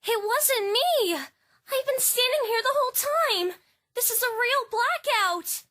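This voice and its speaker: A woman's voice: very high-pitched, animated, no echo, studio quality, full character voice. A woman playing a teenage schoolgirl with a theatrical, spooky storytelling manner in an anime fan dub. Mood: frightened, protesting. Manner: hushed, drawn-out, ominous storytelling. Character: teenage schoolgirl telling an eerie ghost story, easily frightened. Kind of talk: dramatic ghost-story narration in an anime fandub. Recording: background music behind the voice.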